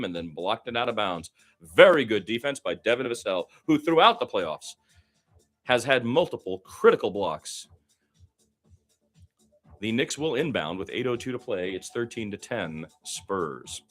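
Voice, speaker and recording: Bright, forceful man calling basketball play-by-play. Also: medium pitch, faint music behind. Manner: excitable in fast play, conversational between plays. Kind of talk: live radio play-by-play commentary.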